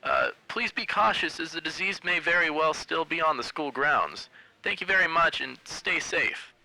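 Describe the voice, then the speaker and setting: A man's voice: a little high, moderate pace, bright, forceful tone, no room sound, pitched above the performer's own voice, rough recording. A man voicing a polite, formal school announcer reading notices in a storybook narration. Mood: formal, apologetic.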